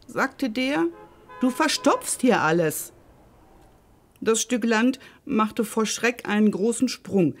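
A woman's voice: mid-range voice, a little mellow. A woman hosting and reading a scripted children's story expressively, speaking directly to her listeners. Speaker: storyteller and host.

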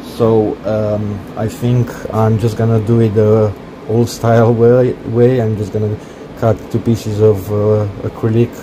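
A man casually walking through his home-built cockpit project, talking haltingly with many fillers. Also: on the low side, level tone, background noise. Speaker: hobbyist cockpit builder. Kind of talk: casual build walkthrough. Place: workshop.

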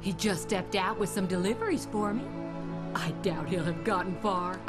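A woman's voice: mid-range voice, normal speed, close to an everyday voice. A woman voicing a kindly, motherly middle-aged shopkeeper in a video game. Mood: fond. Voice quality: motherly, fond. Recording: game audio over music.

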